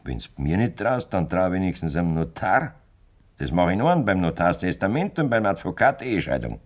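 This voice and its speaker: Domineering Bavarian farmer, swinging low voice. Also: male voice, very animated, brisk. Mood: insistent. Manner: blunt, domineering. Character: hard, scheming farmer demanding a divorce from his wife. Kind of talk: German dialect radio play acting.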